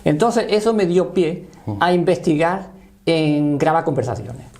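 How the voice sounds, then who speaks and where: Man, sixties, Spanish accent, gravelly, soft dark tone, halting conversational storytelling. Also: on the high side. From Spain. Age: 60s. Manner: halting, often seeking confirmation. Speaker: interview guest, paranormal voice researcher.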